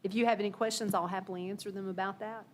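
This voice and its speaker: A woman's voice: medium pitch, fairly even intonation, racing, a little hiss or hum. A woman on city staff giving an informal report at a board meeting, hesitant with many fillers and restarts, her voice a bit worn. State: losing her voice. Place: council meeting room.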